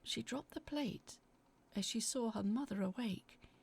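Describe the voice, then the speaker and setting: A woman reading a scripted first-person horror story in a theatrical, expressive way, as its dramatic narrator. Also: on the high side, measured pace, vocal fry, breathy edge.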